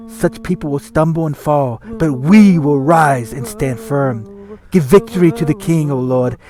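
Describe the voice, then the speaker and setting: A man giving a solemn, declamatory, theatrical reading of scripture. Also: fairly high pitch. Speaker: scripture reader. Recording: music under the voice. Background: music.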